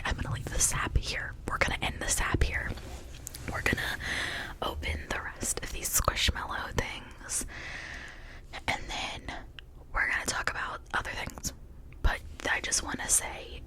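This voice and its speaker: A woman speaking in a breathy whisper, rambling in a reflective, earnest and confiding way as an ASMR creator. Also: poor audio. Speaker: ASMR video creator and host.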